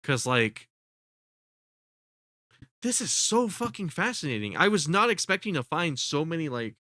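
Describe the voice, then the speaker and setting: A man's voice: on the high side, very animated, measured pace, sharp tone, dry recording, studio quality. A man commentating casually on a live stream, sounding congested, rambling with lots of fillers and swearing. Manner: rambling, profane, full of fillers and restarts.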